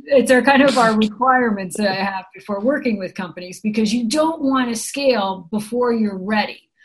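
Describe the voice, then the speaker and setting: A woman's voice: on the high side, normal speed, low-quality recording. A middle-aged American woman from San Francisco, a program director, answering interview questions in a chatty, upbeat way. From San Francisco, USA. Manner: chatty, upbeat, explains with examples.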